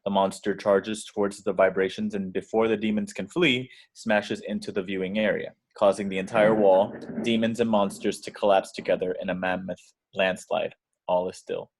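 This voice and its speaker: A man's voice: sits low, level tone, moderate pace. A man reading out the action descriptions as scripted narration in a table read.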